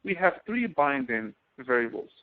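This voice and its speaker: A man instructor giving an explanatory software tutorial as a screen-capture voice-over, halting phrase by phrase and repeating himself. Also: medium pitch, very animated. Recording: tinny, phone-like narrow-band voice recording.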